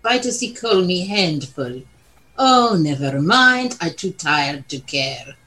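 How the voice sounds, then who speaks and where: A woman playing a blunt, flirtatious, hard-drinking Bulgarian with a Bulgarian accent and deadpan broken English in a comic audio drama. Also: fairly low pitch, slow, exaggerated voice. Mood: weary, puzzled.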